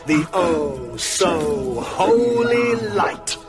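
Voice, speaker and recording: A gravelly, slow-speaking male vampire, savouring every cruel taunt with theatrical menace. His pitch is high for a man and swoops widely, over music in a radio horror drama. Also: very animated, heavily acted. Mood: gloating scorn. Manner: theatrical, taunting, drawn-out delivery.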